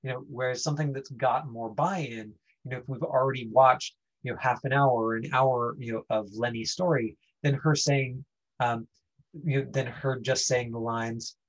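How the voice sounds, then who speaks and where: A male acting teacher with a husky, mid-pitched voice talks informally to his online class. He explains things haltingly, thinking as he goes. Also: fairly even intonation, lo-fi sound. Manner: halting, explanatory, frequent 'you know'. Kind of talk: informal lesson talk.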